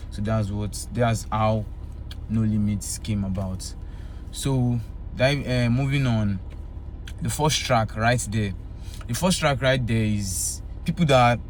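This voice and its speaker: A man's voice: lowish voice, darkish tone. A young man talking casually to camera about his EP, rambling and often asking if you understand. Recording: faint background noise.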